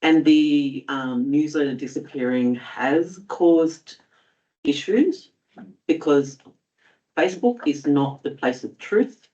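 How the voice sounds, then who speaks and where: A man's voice: on the high side, slow, darkish tone. A man councillor speaking hesitantly in a council meeting debate. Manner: hesitant, with many fillers. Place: council chamber. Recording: dull audio from a distant mic.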